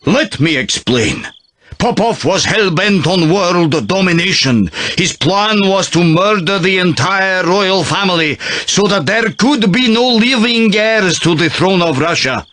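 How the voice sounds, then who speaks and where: An actor playing a solemn priest in a video game cutscene, telling his story in a grave, measured manner. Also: high-pitched, expressive, fairly bright tone. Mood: solemn, earnest. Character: solemn priest revealing a secret royal heritage. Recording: music behind the voice. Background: music.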